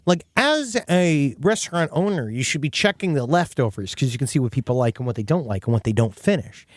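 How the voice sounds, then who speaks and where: A man's voice: mid-range voice, sing-song, fairly quick, dry recording, clean studio sound. A man co-hosting a comedy podcast, riffing casually and often repeating his phrases. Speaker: podcast co-host. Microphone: close studio podcast mic.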